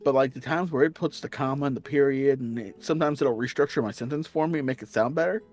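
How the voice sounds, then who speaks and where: A man's voice: mid-range voice, fast, darkish tone, faint music behind. A man with a slight Southern accent, chatting casually as a stream guest and often restarting his sentences. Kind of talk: casual chat.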